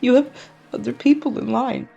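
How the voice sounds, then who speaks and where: A woman's voice: fairly high pitch, fairly even intonation, moderate pace, creaky, dark tone, breathy edge, muffled recording, faint music behind, pitched above the performer's own voice, heavily acted. A woman voicing an anxious, tearful, self-doubting grandmother with a plaintive manner in a story reading. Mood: tearful, pleading. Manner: plaintive, whining.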